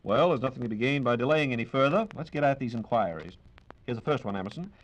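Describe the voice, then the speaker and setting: A man's voice: mid-range voice, lively intonation, quick pace, muffled recording. Polished American 1940s radio announcer, male. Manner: formal, polished, courteous.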